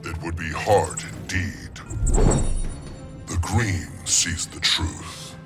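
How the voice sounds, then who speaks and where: An actor voicing an ancient, hulking plant monster who guards nature, speaking in a deep, gravelly, grave and formal way. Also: male voice, slow. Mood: solemn. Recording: game music behind the voice.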